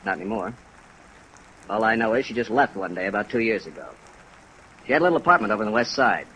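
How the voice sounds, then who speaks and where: An irritable, tired doctor in a vintage radio play. He speaks fast and curtly in a sharp, impatient voice. The old recording has faint noise. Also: lowish voice, animated, poor audio. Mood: weary, resigned. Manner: curt, impatient. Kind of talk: 1950s radio acting.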